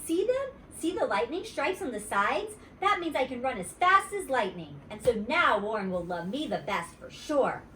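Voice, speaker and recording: Woman putting on bragging schoolgirl, storybook voice, excited, demanding, wildly lively pitch, roomy distant recording. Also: moderate pace, few pauses. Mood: showing off. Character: boastful, competitive, excited schoolgirl with new shoes. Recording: roomy, distant mic, faint noise.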